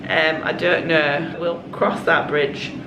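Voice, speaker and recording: A woman, croaky from a cold, chatting in a confiding way to her vlog audience. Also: low-pitched, little pitch movement. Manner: chatty and confiding, with quick asides. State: hoarse from a cold. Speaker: vlogger. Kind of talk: casual vlog chat. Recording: echoey room with background noise.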